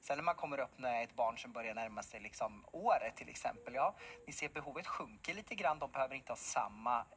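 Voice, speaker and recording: Man explaining calmly in a TV studio. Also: medium pitch, few pauses, slight background noise. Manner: explanatory, relaxed, with frequent fillers. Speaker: pediatric specialist nurse. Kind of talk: TV studio interview. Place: bright TV studio. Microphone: lapel mic.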